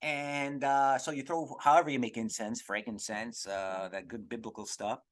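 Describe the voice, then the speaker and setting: A man hosting a comedy podcast, rambling through an irreverent, profane monologue in a halting, digressive way. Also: mid-range voice, little pitch movement. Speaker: podcast host.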